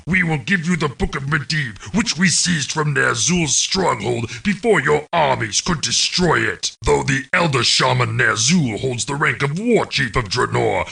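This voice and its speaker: A menacing orc narrates a fantasy game campaign with grave authority. The voice is hard-edged and forceful, set a little back from the microphone. The delivery is commanding, deliberate and dramatic, with a gravelly grain throughout. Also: male voice, mid-range voice, distant mic, full character voice.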